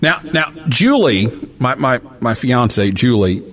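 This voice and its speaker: A man hosting a live radio talk show from a hotel, talking in a halting way with many fillers and restarts. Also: medium pitch, sing-song. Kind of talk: live call-in show banter. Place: hotel room during a convention.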